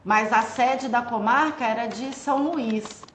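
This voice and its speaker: A woman judge from Minas Gerais talks in a slightly high voice, stopping and restarting as she searches for her words. Her voice carries a roomy echo, with faint noise behind it. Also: a bit bright. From Minas Gerais, Brazil. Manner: hesitant, halting, with many restarts. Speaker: judge, podcast guest. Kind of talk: casual podcast chat. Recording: echoey room with faint noise.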